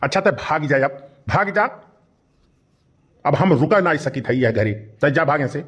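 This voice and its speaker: A man's voice: fairly high pitch, lively intonation, measured pace, a bit bright, slightly rough, full character voice. A man voicing a grumpy henpecked village uncle in a comedy cartoon, complaining and blustering with an Awadhi accent. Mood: aggrieved, defiant. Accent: Awadhi, eastern Uttar Pradesh.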